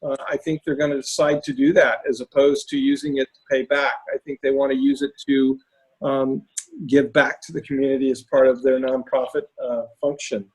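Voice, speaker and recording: A hoarse man with a raspy voice talking through case studies on a video call, halting with many fillers and restarts. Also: medium pitch, a little mellow, poor audio. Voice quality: raspy, lost voice. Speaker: panelist presenting case studies. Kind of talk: webinar talk.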